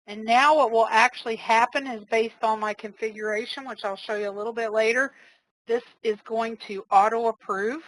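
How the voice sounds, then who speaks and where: A woman trainer giving a casual, step-by-step live software demonstration, explaining each click as she goes. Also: fairly high pitch, steady tone, normal speed, dry recording, poor audio. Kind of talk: live software demo walkthrough.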